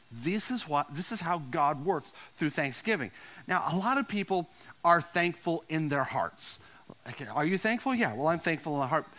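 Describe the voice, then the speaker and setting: A man's voice: high-pitched, a bit bright. A man preaching a conversational sermon as a pastor, repeating phrases for emphasis and putting questions to his listeners.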